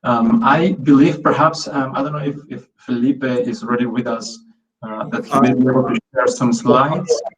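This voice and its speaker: A man's voice: mid-range voice, fairly even intonation, moderate pace, distant mic, low-quality recording. A man from Peru giving an online academic talk in English, hesitant with many fillers and restarts. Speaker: guest lecturer.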